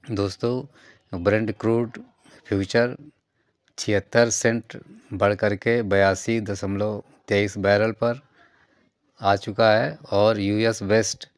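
A man with a low voice and a flat, steady delivery narrates daily stock market news. He talks straight to his viewers and works through a numbered list of reasons. His voice is clear and resonant, recorded dry and close. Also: slow, pauses often, no echo. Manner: addresses viewers as friends, lists numbered reasons. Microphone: voice-over on slides.

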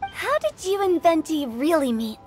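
A woman's voice: sits high, very animated, normal speed. A woman voicing a playful, nosy teenage girl in a video game, teasing. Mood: teasing, curious. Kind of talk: scripted game dialogue. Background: music.

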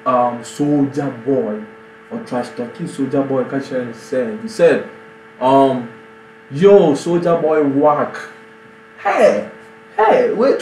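A young man in his twenties with a Nigerian Pidgin accent, hosting an animated reaction vlog full of exclamations and stammered repeats. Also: fairly high pitch, echoey room, slight background noise, poor audio. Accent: Nigerian Pidgin English. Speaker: YouTube reaction host. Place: small bedroom indoors.

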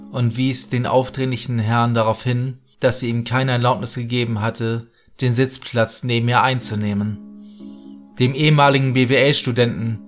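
Male horror narrator over soft music. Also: mid-range voice. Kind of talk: scripted horror story narration. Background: background music.

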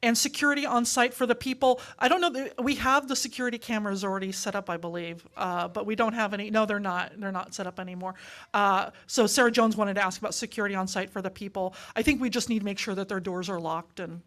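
A woman's voice: mid-range voice, steady tone, quick pace, a bit bright. An older woman leading a church town hall Q&A, chatty and upbeat, recorded from a distance in a sanctuary. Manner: chatty and upbeat, laughs often. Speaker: meeting leader at a congregation.

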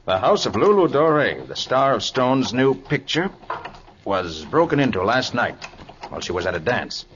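An actor playing a confident, brisk master detective, asking assured questions in a 1940s radio drama. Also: male voice, mid-range voice, very animated, a bit bright. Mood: matter-of-fact. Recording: muffled, noisy 1940s radio recording.

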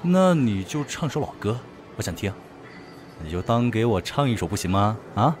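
A man's voice: fairly low pitch, big pitch swings, normal speed. A man playing a cocky, playful male student in an amateur audio drama, teasing as he talks. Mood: casual coaxing. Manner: teasing, swings from murmurs to loud calls. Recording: music behind the voice.

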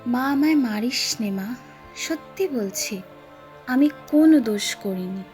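Woman acting a slow, plaintive sick village boy. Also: a little high, animated, a little mellow, faint music behind. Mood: feverish pleading. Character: sick frightened homesick village boy, pleading. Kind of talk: audio storybook acting.

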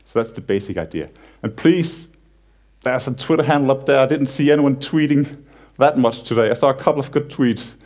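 Danish guy doing a conference talk in a cinema hall, joking around, restarts a lot. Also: mid-range voice. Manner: relaxed, self-deprecating humor with frequent halting restarts. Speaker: conference speaker. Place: large cinema hall on stage.

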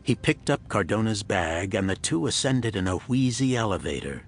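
A man giving scripted narration of a pulp thriller audiobook in a husky voice. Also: on the low side, sing-song, moderate pace, faint music behind.

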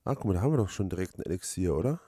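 A man giving casual let's play commentary, haltingly thinking aloud and repeating his words. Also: deep voice, lively intonation, mellow, slight background noise. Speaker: let's player. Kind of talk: casual, rambling game commentary.

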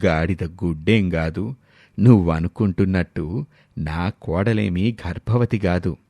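A man voicing an elated older grandfather character in a dramatised story, speaking slowly and emphatically in a confiding way. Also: low-pitched, mellow, dry recording, voice pushed down. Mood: confiding, teasing.

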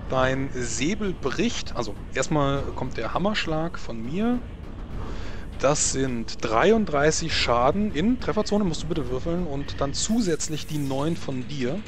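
A man running a tabletop combat as game master, talking casually and a bit haltingly as he narrates. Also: fairly high pitch, animated, noisy background. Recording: music bed behind voice. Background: background music.

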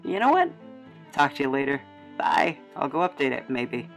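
A woman's voice: very deep voice, sing-song, moderate pace, vocal fry, faint music behind. A woman narrating a casual, chatty travelogue as host, with playful, wry, rambling asides. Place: studio voice-over.

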